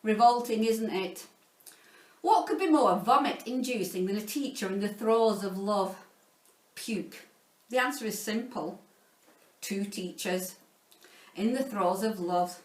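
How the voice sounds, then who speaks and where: A woman reading a children's story aloud in an expressive, playful, theatrical way, like a teacher narrating to pupils. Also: medium pitch, unhurried, pauses often. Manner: theatrical, playful, dramatizing sound words. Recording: roomy echo, distant from the mic.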